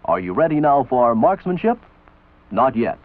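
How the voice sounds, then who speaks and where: An American man reading scripted instructional narration for a training film in a measured, authoritative, didactic manner. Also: mid-range voice, big pitch swings. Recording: tinny band-limited old film soundtrack. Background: voice-over for an old military training film.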